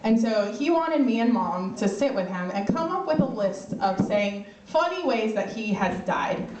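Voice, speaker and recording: A woman's voice: mid-range voice, very animated, normal speed, darkish tone. A young woman giving an informal eulogy, joking and laughing between lines while speaking into a microphone at an outdoor pavilion. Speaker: eulogist, daughter of the deceased. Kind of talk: informal memorial speech. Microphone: microphone through loudspeaker. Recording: echoey, distant, noisy outdoor recording.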